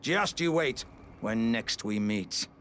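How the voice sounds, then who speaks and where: An actor playing an earnest, jovial living warrior jar in a video game, speaking with grand, old-fashioned courtesy and theatrical formality. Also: male voice, on the low side, expressive, slow pace, fairly bright tone, a little hiss or hum. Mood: hopeful. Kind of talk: video game character dialogue.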